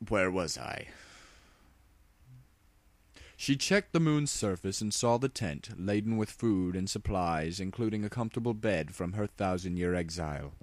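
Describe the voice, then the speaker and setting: A man's voice: low-pitched, a little slow, no echo. A man narrating a story as a mock-dramatic comic reading, with wry asides of his own.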